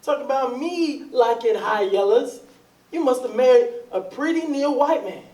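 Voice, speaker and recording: A man's voice: extremely high pitch, lively intonation, moderate pace, a little mellow. A man playing an ardent, insistent suitor in his forties in a readers theatre drama, with folksy, effusive speech. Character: ardent, insistent 42-year-old man courting his lost sweetheart. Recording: roomy, distant, noisy recording.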